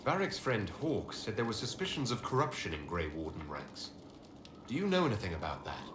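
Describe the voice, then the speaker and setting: Fantasy commander, low voice, varied intonation, composed, direct, questioning, game dialogue. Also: male voice, huge pitch swings, brisk. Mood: probing. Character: composed male fantasy hero, commanding Inquisitor asking questions. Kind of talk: video game dialogue acting. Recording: roomy echo, clear background noise.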